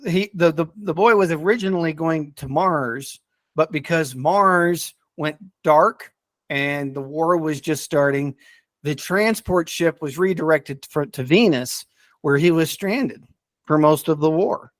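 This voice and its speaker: Higher-voiced man talking haltingly on a podcast. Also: no room sound, studio quality. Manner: hesitant, halting, often repeating words. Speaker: podcast speaker reviewing radio dramas. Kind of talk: casual podcast discussion.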